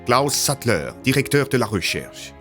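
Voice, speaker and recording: A man's voice: medium pitch, sing-song, unhurried, a bit bright, faint music behind. A man voicing a smug research director in an audio story. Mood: smug, insistent. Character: smug male research director with a Germanic touch.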